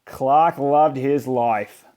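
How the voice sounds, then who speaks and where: A man reading a children's picture book aloud in expressive narration, with emphatic, deliberate phrasing. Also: mid-range voice. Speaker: storybook reader.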